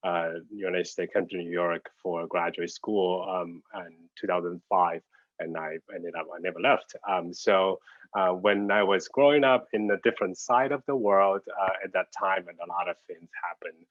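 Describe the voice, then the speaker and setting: A middle-aged man from Taiwan with a Taiwanese accent, giving an informal online talk as a designer in a halting, hesitant way while searching for words. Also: low voice, fairly even intonation, dry recording. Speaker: graphic designer and studio head giving a guest talk. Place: at home, on a video call. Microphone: computer video call. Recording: dull, lo-fi video call audio.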